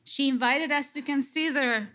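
A middle-aged woman from Colombia with a Colombian Spanish accent, giving an expressive conference response from a lectern on a large stage, speaking into a headset mic. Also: high voice. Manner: expressive, gesturing, slow and deliberate. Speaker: lecture respondent, psychology professor.

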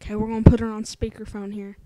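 A woman's voice: medium pitch, monotone, fast talker, mellow, slight background noise. A woman talking casually in a hesitant, placating way, as a caller posing as customer service. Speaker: prank caller.

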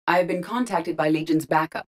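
A woman voicing a calm, curious, literal-minded female ship artificial intelligence in a video game, speaking formally and precisely. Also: lowish voice, lightly acted. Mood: calm, analytical. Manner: measured, formal, precise diction. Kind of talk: scripted video game character dialogue.

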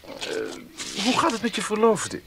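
An actor playing a hesitant young gentleman in a 1970s radio play. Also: high voice, huge pitch swings, gravelly, background noise, full character voice. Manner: hesitant, halting.

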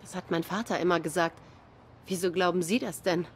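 A woman's voice: fairly low pitch, fairly even intonation, brisk, faint music behind, natural voice. An actress playing a grieving young cat woman in a noir game, guarded, bitter and sardonic in a subdued way. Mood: guarded, weary. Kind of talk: noir game dialogue acting.

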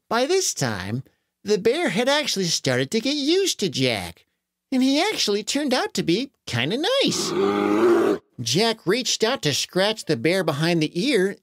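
Guy telling a kids story, super expressive, pitch all over the place, takes his time. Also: no echo, clean studio sound. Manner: dramatic, exaggerated emphasis, drawn-out words. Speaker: children's story podcast narrator. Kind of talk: animated storytelling for children.

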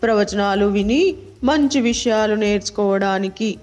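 A woman's voice: medium pitch, a little slow, a little mellow, soft background music, pitched below the performer's own voice, lightly acted. Woman voicing a naive, devout fox. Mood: earnest, puzzled. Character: devout, naive fox who keeps a parrot. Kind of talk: children's animated moral story.

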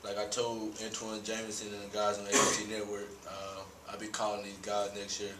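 A man's voice: on the low side, brisk. Young man in an echoey press room. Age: young adult. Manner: relaxed, laughs easily, many "you know" fillers. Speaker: college basketball player. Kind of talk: postgame press conference answers. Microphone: table mic. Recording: roomy echo, distant mic, hum.

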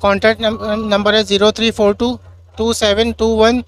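A man's voice: sits high, level tone. A man selling his car, answering curtly as he bargains over the price. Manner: curt, reads a number slowly. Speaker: car seller.

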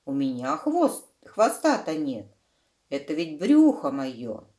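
A woman's voice: deep voice, wildly swooping pitch, takes it slowly, vocal fry, warm tone, echoey room, heavily acted. A woman voicing an indignant little slug in a children's storybook, protesting and explaining itself.

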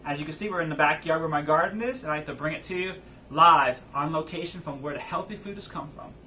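A man in his thirties hosting an energetic, chatty cooking demo outdoors in his backyard garden. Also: fairly high pitch, fast, distant mic, slight background noise. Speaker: cooking show host. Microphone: far camera, outdoors.

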